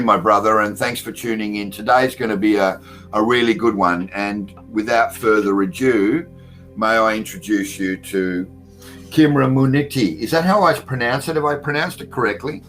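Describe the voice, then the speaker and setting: Male call-in radio host, low voice, chatty and filler-heavy, faint music under him. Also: a bit bright. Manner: loose and chatty, many fillers, greets listeners by name. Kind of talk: casual call-in radio hosting. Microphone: computer mic at a desktop.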